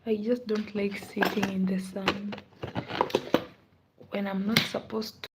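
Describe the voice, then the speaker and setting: A tired, unwell woman in a casual live stream, greeting viewers and chatting softly with frequent laughs, sometimes dropping to a whisper. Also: medium pitch, flat delivery, takes it slowly, very noisy. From Kenya. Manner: soft-spoken, laughs often, drifts into whispers. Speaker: livestreamer.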